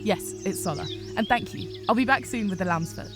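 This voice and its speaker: A woman's voice: mid-range voice, fairly quick, music in the background, lightly acted. A woman voicing an earnest young female rabbit apprentice healer in a fantasy game, polite and restrained. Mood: dry. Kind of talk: visual novel voice acting.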